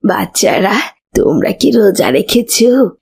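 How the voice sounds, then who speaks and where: A woman's voice: mid-range voice, animated, a little slow, gravelly, a little mellow, breathy edge, exaggerated voice. A woman voicing a kindly old village woman who patiently teaches children in a moral cartoon. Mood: gentle, instructive. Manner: patient, instructive.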